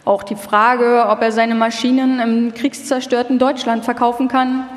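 A woman's voice: on the high side, level tone, moderate pace. A woman reading scripted documentary narration as a narrator in a live radio feature. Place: large hall, live stage performance. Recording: echoey hall with long reverb.

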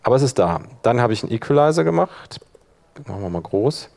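A man's voice: mid-range voice, sing-song, quick pace, gravelly, a little mellow. Male workshop presenter, colloquial, talks through each step as he demonstrates, thinking aloud. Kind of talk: informal software tutorial, demonstrating step by step.

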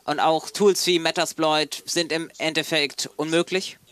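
A man's voice: fairly high pitch, fairly even intonation, a little slow, fairly bright tone. A man interpreting a conference panel live, in hesitant broken phrases with frequent self-corrections. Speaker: simultaneous interpreter.

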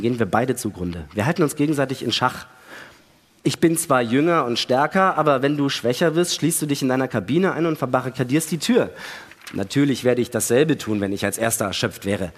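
Male actor as distrustful space crewman, husky voice, deliberate argumentative delivery, radio drama read in a reverberant hall. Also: mid-range voice, natural voice. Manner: argumentative, methodical, deliberate reasoning. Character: male astronaut, suspicious, coldly calculating, accusing his crewmate. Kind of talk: live dramatic reading of a sci-fi radio play. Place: large hall, stage reading. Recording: echoey hall, long reverb.

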